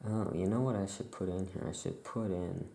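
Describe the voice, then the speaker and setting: Soft asmr witch shopkeeper roleplay, low mellow voice, creaky, chill and unhurried musing. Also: steady tone. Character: laid-back Salem witch shopkeeper chatting with a customer. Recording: faint background noise.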